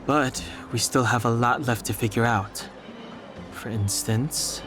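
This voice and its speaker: An actor playing a calm, serious young man adventurer in a video game, speaking in a reserved, deliberate way. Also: mid-range voice, lightly acted. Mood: analytical. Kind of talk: measured video game dialogue. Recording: music playing behind.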